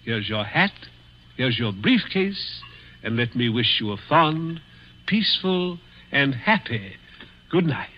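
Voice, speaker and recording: An actor playing a gloating elderly villain with suave, mock-courteous, taunting manners, in 1940s radio suspense style. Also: male voice, medium pitch, big pitch swings, takes it slowly, a little hiss or hum. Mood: silky menace. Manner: suave, hesitant, chuckling politeness with a taunting edge. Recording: muffled 1940s radio recording.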